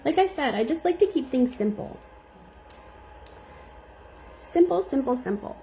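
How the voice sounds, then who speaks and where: Slightly squeaky woman teaching nail art. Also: expressive, a little slow, mellow, a little hiss or hum. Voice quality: a little squeaky. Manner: chatty, reads and answers viewer comments. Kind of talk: live nail art tutorial.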